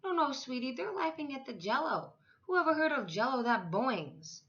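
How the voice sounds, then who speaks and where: A woman voicing a big, brisk, kindly storybook lunch lady with a large voice. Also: very animated, a bit bright, muffled recording. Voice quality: large, booming voice. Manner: bossy but affectionate, addressing small children.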